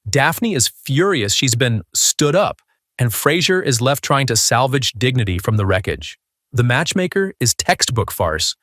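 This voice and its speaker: A man's voice: mid-range voice, big pitch swings, a little slow, fairly bright tone, no echo, studio quality. A man hosting a video countdown, reading a scripted narration.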